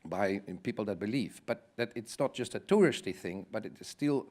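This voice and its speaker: A man's voice: on the low side, animated, moderate pace, a little mellow. A man speaking on a conference panel, reflective and thinking aloud in loose, searching phrases. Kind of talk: conversational panel discussion.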